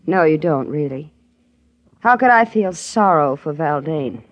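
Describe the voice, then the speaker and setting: A woman's voice: low-pitched, expressive, a little slow, dull audio. An actress playing a cool, bitter divorced mother in a 1950s radio drama, with a genteel, cutting manner.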